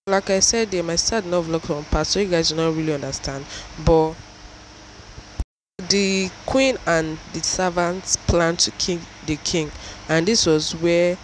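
A woman's voice: fairly low pitch, a little slow, slight background noise, rough recording. A young woman, narrating a casual vlog recap in an informal, explanatory storytelling way. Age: young adult. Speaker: vlogger.

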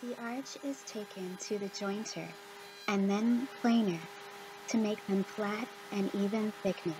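A woman's voice: mid-range voice, a little slow, noisy background. A woman giving scripted instructional narration for a woodworking video.

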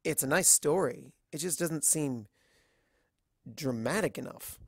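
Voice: mid-range voice, lively intonation, a little slow, vocal fry, slightly rough, dry recording, clean studio sound. A narrator reading a scripted first-person story aloud.

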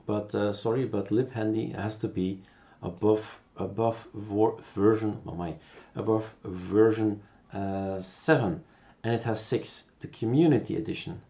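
A man with a Belgian accent giving a casual, unscripted software tutorial, thinking aloud as he works. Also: fairly low pitch.

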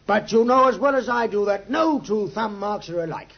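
An actor playing a smug, easily exasperated police inspector in a 1950s radio drama. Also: male voice, high-pitched, expressive, normal speed, gravelly, exaggerated voice. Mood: triumphant. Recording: dull, muffled 1950s radio recording.

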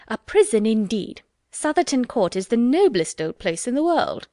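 A woman voicing an officious, self-important older widow who talks insistently and loudly. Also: fairly high pitch, sing-song, moderate pace, dry recording, clean studio sound, pitched above the performer's own voice. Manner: insistent, loud, fussy.

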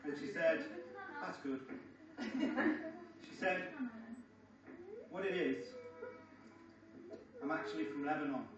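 A middle-aged man giving a joking wedding speech, telling stories with deliberate pauses. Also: on the high side. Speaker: wedding speaker, best man. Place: large function room, indoors. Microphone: far camera in the audience. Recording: echoey, muffled, distant, noisy crowd. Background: audience crowd and laughter.

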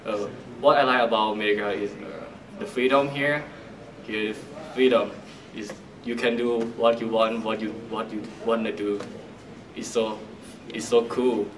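Flat, low-voiced Thai-accented teenage male. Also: normal speed. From Thailand. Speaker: exchange student. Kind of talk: self-introduction to camera. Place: indoor school room. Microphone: camera mic at a distance. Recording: distant mic, noisy background.

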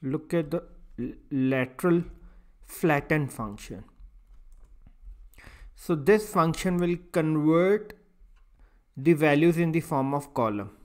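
Slow-paced man giving step-by-step instruction. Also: on the high side. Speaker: software tutorial instructor. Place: voice-over for a screen recording.

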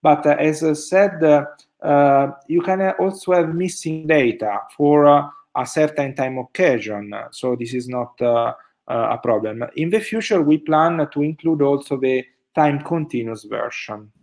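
A middle-aged man answers questions over a video call, his voice sitting a little higher than most men's. He talks hesitantly, filling pauses and restarting sentences as he explains. The sound comes through a webcam microphone. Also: darkish tone. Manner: hesitant, many fillers and restarts. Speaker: keynote speaker answering questions. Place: indoors at home.

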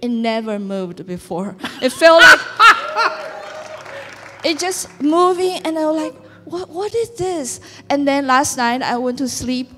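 A woman's voice: high-pitched, animated, slow, sharp tone, a faint crowd, a little hiss or hum. A woman from China with a Chinese accent giving a hesitant testimony on a church stage, full of fillers and restarts. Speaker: testifying congregant. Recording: long hall reverb.

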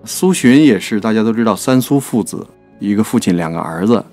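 A man's voice: lowish voice, animated, a little fast, darkish tone, soft background music. A man co-hosting a literary talk, chatting casually, agreeing and prompting his partner. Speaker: podcast producer and co-host.

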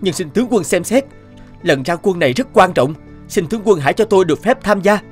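Army squad leader male actor for animation: dutiful, stern, commanding, highly varied pitch over music. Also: lively intonation, a little fast. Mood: urgent appeal. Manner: formal, commanding.

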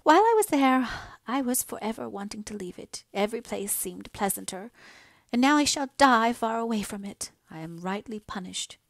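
A woman's voice: a little high, animated, normal speed, gravelly, no room sound, voice pushed up. A woman voicing a frail, ailing mother in an audiobook, plaintive, anxious and easily displeased. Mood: sorrowful. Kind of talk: dramatised audiobook dialogue.

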